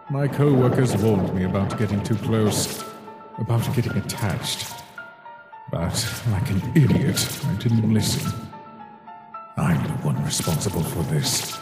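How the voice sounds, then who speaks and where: A man playing a cold, weary, guilt-ridden scientist monster in a dramatic comic dub, speaking in a deliberate, theatrical way. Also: fairly low pitch, natural voice. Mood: regretful, self-blaming. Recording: echoey reverberant voice with background music.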